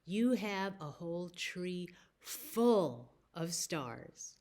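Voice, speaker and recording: A woman's voice: lowish voice, expressive, drawn-out words, a little mellow. A woman voicing a wise, kindly bird who reassures and encourages in a children's picture book. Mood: reassuring.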